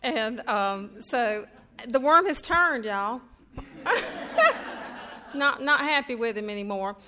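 A woman's voice: a little high, expressive, takes it slowly, background noise. A middle-aged woman from North Carolina with a Southern accent, giving a chatty, self-deprecating humorous talk to an audience. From North Carolina, USA. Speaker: author speaking at a reading. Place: event room before a live audience.

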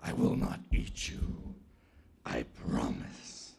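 A man voicing a ferocious leopard in a story, speaking slowly and quietly with grave, coaxing manner. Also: natural voice. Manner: slow, low, hushed. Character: ferocious leopard fallen in a pit, solemnly promising.

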